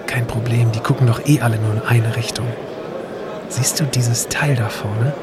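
Man in conversation over loud background noise. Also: medium pitch, fairly even intonation, normal speed. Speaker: co-presenter playing himself as a time traveller. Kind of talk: scripted audio drama dialogue, conversational.

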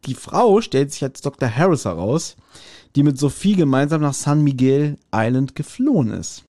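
A man's voice: medium pitch, warm tone. A man co-hosting a podcast, casually retelling and reviewing a story in a colloquial, opinionated way. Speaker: podcast co-host.

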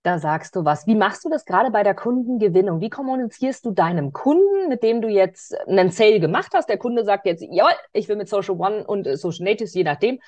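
A woman's voice: mid-range voice, animated, a little fast, hardly any pauses, darkish tone, no room sound. A woman hosting a conversational interview in a wordy, encouraging way. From Berlin, Germany. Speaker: podcast interviewer.

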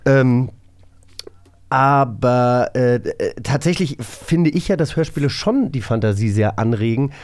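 A man's voice: medium pitch, expressive, unhurried, a little mellow. Man co-hosting a casual podcast. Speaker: podcast co-host.

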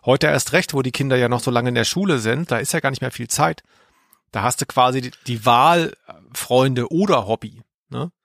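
A man's voice: medium pitch, moderate pace, gravelly, fairly bright tone, no echo, clean studio sound. A middle-aged man co-hosting a casual podcast, matter-of-fact and colloquial, often tagging his points with short questions. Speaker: podcast co-host. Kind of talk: casual chat.

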